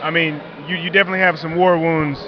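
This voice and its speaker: Brief: talkative male interviewer, a bit high-pitched, joking, muffled outdoor sound. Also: flat delivery, quick pace, a crowd in the background. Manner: chatty, joking, rambling questions.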